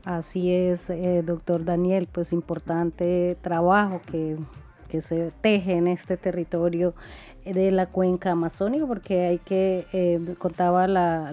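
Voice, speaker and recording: A woman hosting a radio interview, a native Murui speaker who asks formal, measured questions. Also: lowish voice, mellow. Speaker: radio host.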